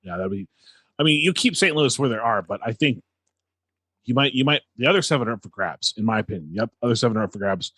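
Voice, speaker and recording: A man co-hosting a sports podcast, giving casual commentary with a halting delivery that repeats words. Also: mid-range voice, expressive, fairly bright tone, no room sound.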